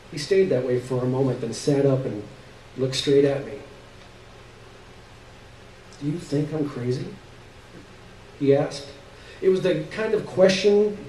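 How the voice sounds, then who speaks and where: A man's voice: medium pitch, mellow. A man giving a dramatic literary reading of a short story on stage. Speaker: story reader. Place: live stage reading in a room. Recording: echoey room, distant mic, faint noise.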